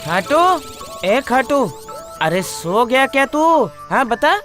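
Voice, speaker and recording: Woman doing a squeaky, silly cartoon thief. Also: very animated, takes it slowly, faint music behind, exaggerated voice. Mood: chatty. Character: silly, excitable male village thief, comic sidekick. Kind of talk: exaggerated cartoon comedy acting.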